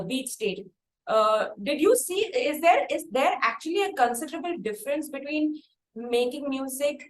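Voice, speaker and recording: A woman with an Indian accent hosting a conversational music interview, speaking in wordy, halting phrases. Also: sits high. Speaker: interview host. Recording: echoey room, faint background noise.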